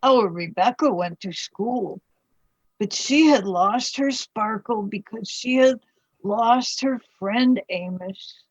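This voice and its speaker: Dull, dry recording. Anguished mother of a past century , played by a woman, husky, radio drama. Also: on the high side, slow, dry recording. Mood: sorrowful, grave. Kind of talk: radio play acting.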